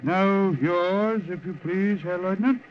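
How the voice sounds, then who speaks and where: A man's voice: high voice, a little slow. An officious yet polite train inspector. He speaks in 1950s radio drama manner on a dull vintage recording.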